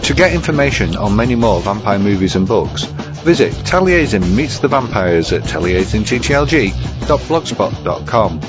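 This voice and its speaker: A man's voice: fairly low pitch, sing-song, normal speed. A man presenting a scripted review segment as a narrator. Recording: dull lo-fi recording under music. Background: guitar music.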